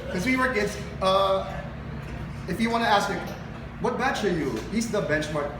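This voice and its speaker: Filipino guy doing spoken word on stage, highish voice, far from the mic and echoey. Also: expressive, normal speed. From Philippines. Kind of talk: live spoken word poetry with casual stage banter. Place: live poetry event venue. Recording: roomy, distant mic, noisy live venue.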